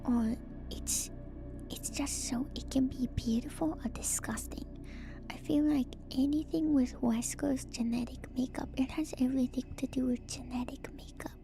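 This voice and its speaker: A woman streamer whispering softly and breathily in casual ASMR commentary, musing and trailing off between phrases. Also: high-pitched, steady tone, moderate pace, gravelly, rough recording. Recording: music playing behind the voice. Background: music.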